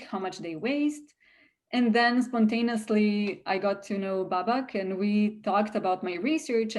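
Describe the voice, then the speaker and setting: A woman's voice: medium pitch, a little mellow. A young woman from Serbia, explaining research informally as an interview guest. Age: young adult.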